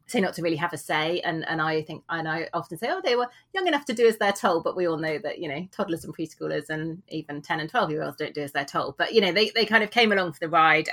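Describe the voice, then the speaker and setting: A woman's voice: sits low, fairly bright tone. A British woman in her forties, chatting casually and self-deprecatingly as a guest on a podcast, recorded at home. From England. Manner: chatty, rambling, self-deprecating with frequent laughs. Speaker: guest author. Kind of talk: casual interview chat.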